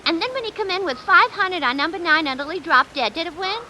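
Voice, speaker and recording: Breezy streetwise American shopgirl, 1940s radio style, high-pitched and animated, noisy rainy recording. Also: quick pace, full character voice. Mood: gabby, excited. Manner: breezy, slangy, gabby. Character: chatty streetwise woman running a shop counter. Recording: noisy vintage radio, rain over it.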